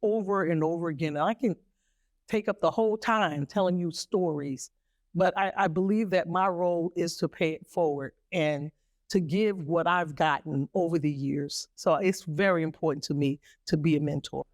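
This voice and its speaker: A woman speaking as a guest mentor, explaining things calmly in conversation. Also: on the low side, normal speed, no room sound, studio quality.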